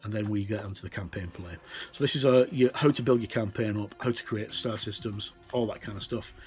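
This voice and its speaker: A middle-aged or older man giving a casual, unscripted look through a book as a tabletop game reviewer, in a rambling, hesitant way with many pauses. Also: fairly low pitch, a little mellow. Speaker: reviewer and presenter of tabletop games. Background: ambient music with wind sounds.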